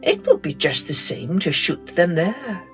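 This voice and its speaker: A woman's voice: fairly low pitch, big pitch swings, measured pace, a bit bright, faint music behind. A woman voicing a young male archer in a storybook, earnest and modest.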